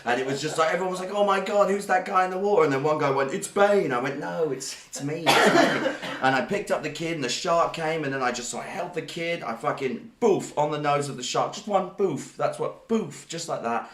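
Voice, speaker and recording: A man's voice: on the high side, brisk, hardly any pauses, fairly bright tone. A Greek-born man, hosting a live stream and spinning a rambling, jokey tall tale. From Greece. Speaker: stream host. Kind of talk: comedic live stream chat. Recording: roomy echo.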